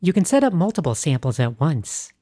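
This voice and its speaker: A woman hosting a tutorial, narrating scripted explanations in a clear, explanatory tone. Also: low-pitched, very animated, brisk, mellow, no echo, studio quality. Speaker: host.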